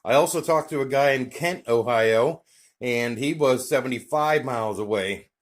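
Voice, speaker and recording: A man's voice: medium pitch, moderate pace. A man with an American accent talking casually to his viewers in a folksy, chatty way, like a hobbyist vlogger. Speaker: YouTube vlogger, CB radio hobbyist. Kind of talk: casual vlog talk to camera.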